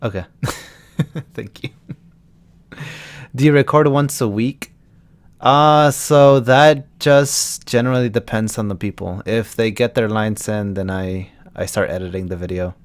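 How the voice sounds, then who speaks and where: A man's voice: on the low side, measured pace. A young man from Texas, a voice actor chatting casually with viewers on a live stream and answering their questions. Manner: chats loosely, answering viewers' questions as they come.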